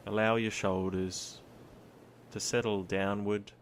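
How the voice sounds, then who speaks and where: A man guiding a meditation in a calm, soothing, gentle manner, with long pauses between his instructions. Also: on the low side, slight background noise. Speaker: meditation guide. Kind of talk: guided body scan meditation. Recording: surf sounds behind the voice. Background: ocean surf ambience.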